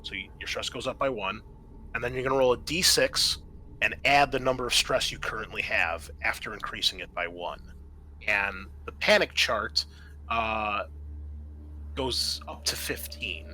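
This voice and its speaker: Bright-voiced man running a tabletop game. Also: lowish voice, moderate pace, low-quality recording. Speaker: game master. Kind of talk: explaining tabletop game rules casually. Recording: clear background noise.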